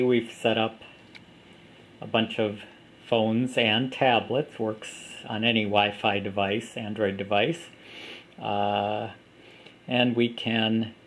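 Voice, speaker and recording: A man giving a plain, unhurried walkthrough of an app, recorded on a camera mic indoors at a table. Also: on the low side, a little hiss or hum. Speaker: app developer demonstrating his app. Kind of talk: casual product demo.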